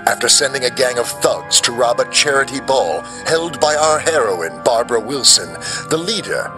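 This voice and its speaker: A man's voice: medium pitch, expressive, moderate pace, close to an everyday voice. A man playing an old-time radio announcer introducing a sponsored mystery show. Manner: smooth announcer delivery. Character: 1940s male radio announcer. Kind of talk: 1940s radio acting. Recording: radio show with clear music.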